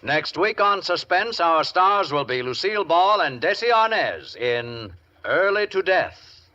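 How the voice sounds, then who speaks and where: A man announces in dramatic 1950s radio style. His voice is fairly high and sharp, with formal delivery. The recording is dull and has music playing clearly behind it. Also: sing-song, unhurried. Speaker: program announcer. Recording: dull vintage radio broadcast over music.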